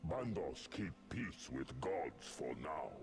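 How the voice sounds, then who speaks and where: An actor playing a huge, dim-witted, battle-hungry brute in a fantasy video game, boastful and blunt, in broken grammar. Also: male voice, a bass voice, very animated, faint music behind, exaggerated voice. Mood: gleeful, threatening. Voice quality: gravelly. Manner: broken third-person grammar, slow and blunt.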